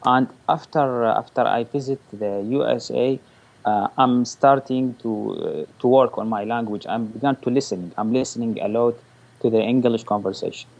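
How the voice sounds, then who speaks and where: A man from Iraq, speaking English as an as a caller, halting and searching for words. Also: mid-range voice, creaky, a little mellow, highs cut off. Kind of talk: casual chat.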